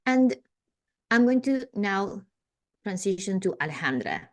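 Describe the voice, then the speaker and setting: A woman's voice: medium pitch, very animated, unhurried. A woman hosting a podcast interview, enthusiastic and full of praise. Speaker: podcast host.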